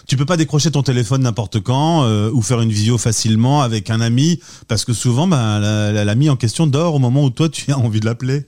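A man's voice: mid-range voice, a little fast, few pauses, a little mellow. A man hosts a radio interview in a conversational way. His voice sits in a mid range, and he leads with questions, easing in with fillers.

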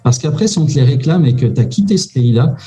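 A man giving casual advice as an immigration consultant, pausing often with fillers. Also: fairly high pitch, expressive, mellow. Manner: hesitant, many "euh" fillers, informal.

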